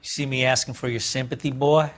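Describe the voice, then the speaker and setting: A man's voice: medium pitch, level tone, fast, close to an everyday voice. Man playing a folksy down-and-out ex-convict. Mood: defiant. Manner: evasive, folksy drawling grammar. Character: down-and-out folksy ex-convict, sullen and slippery. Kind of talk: cinematic video game acting.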